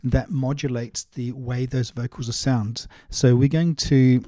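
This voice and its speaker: A man giving a casual, step-by-step software tutorial, explaining things as he goes. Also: medium pitch, fairly even intonation, a little slow, no room sound, studio quality. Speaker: music production tutorial presenter.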